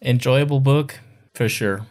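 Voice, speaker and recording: A man's voice: medium pitch, measured pace, a little mellow. A man hosting a podcast in casual chat, talking informally and emphatically as a fan. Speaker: podcast host.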